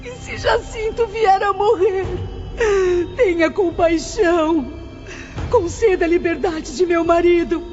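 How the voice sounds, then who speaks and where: A woman's voice: very high-pitched, a little slow, a little mellow. An actress playing an anguished wife and mother, tearful and imploring in a melodramatic audio drama. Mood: pleading. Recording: dull lo-fi, music behind.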